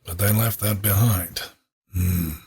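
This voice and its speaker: A man playing a practical, doubtful beastly scientist in an audio drama, with a very raspy voice. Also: low-pitched, steady tone, slow pace, darkish tone, full character voice.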